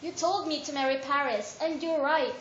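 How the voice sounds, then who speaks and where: A woman playing an excited, bossy father of the bride who barks eager orders, in an amateur stage reading. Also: high voice, normal speed, slight background noise, lightly acted. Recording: echoey room, mic far off, dull sound.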